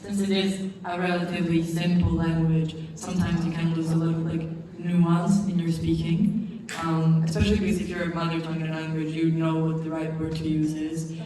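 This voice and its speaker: A student speaking hesitantly and doubting himself. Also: male voice, fairly high pitch, flat delivery, normal speed, dark tone. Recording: distant, echoey hall.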